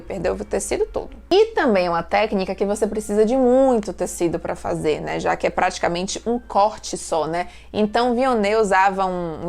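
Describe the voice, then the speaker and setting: A woman's voice: mid-range voice, vocal fry. A woman hosting a fashion history channel, telling stories in a chatty way, often tagging sentences with questions and speaking straight to her viewers. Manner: chatty, frequent "né?" tags, addresses viewers directly. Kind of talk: casual storytelling explainer.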